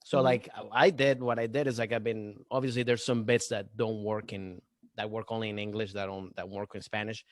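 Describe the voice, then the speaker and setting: A man's voice: lowish voice, little pitch movement, brisk, dry recording. A young adult man from Venezuela with a Venezuelan accent, a comedian chatting as a guest in a loose, rambling way with many restarts and fillers. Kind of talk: casual podcast chat.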